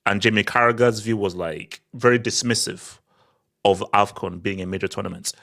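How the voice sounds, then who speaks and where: A man from Nigeria co-hosting a football podcast, talking in an animated, emphatic way and often repeating words as he builds a point. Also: lowish voice, vocal fry. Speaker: podcast co-host. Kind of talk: casual football discussion.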